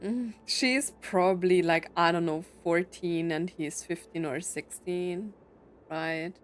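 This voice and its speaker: Slow-talking woman reacting to a game. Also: lowish voice, fairly even intonation, soft background music. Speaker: gaming video host. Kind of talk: casual playthrough reactions.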